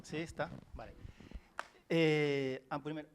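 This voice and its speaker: A man's voice: on the high side, steady tone, slow pace, slight background noise. A man in his forties from China, whose first language is Wenzhounese, talking on a panel as a writer, chatty, with frequent restarts. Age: 40s. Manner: warm, chatty, laughs often, many restarts. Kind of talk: panel talk.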